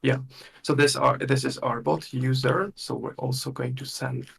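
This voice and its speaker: A man leading a workshop with a live software demonstration, thinking aloud in a halting way and murmuring to himself as he works. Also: mid-range voice, level tone, gravelly, slightly rough. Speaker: workshop instructor.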